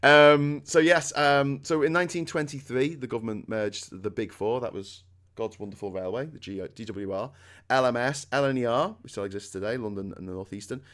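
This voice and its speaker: Scouse male host, middle-aged, booming and chatty, quick to laugh, average pitch. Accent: Liverpool (Scouse). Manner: loud, chatty and excitable, laughs often. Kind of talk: casual chat.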